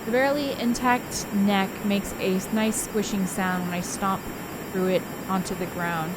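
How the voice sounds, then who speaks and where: A woman's voice: medium pitch, fairly even intonation, moderate pace, heavy background noise, close to an everyday voice. A woman reading the part of a brooding, traumatized ghost girl, narrating a visual novel in an introspective, melancholy way. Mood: numb, bleak. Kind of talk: dramatic visual novel read-aloud.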